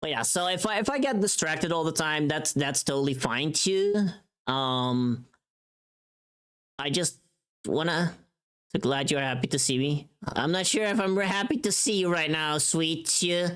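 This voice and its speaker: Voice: mid-range voice, unhurried, a bit bright, clear, resonant tone. A streamer chatting casually on a livestream in a playful, teasing, sweary way.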